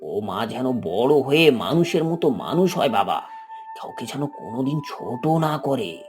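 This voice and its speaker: A man plays a humble, forgiving old hawker in a moral cartoon. His fatherly, preaching speech swings widely in pitch, with faint music beneath it. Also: very animated, unhurried. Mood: gentle, earnest. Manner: fatherly, gently preaching, affectionate address. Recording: background music under the voice.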